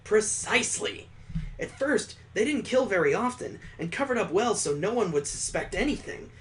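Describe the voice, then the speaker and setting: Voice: medium pitch, sing-song, normal speed, a little hiss or hum, lightly acted. A game streamer voicing a stern, weary detective father who talks down to his son in a visual novel. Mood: grave, reassuring. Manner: condescending, grave.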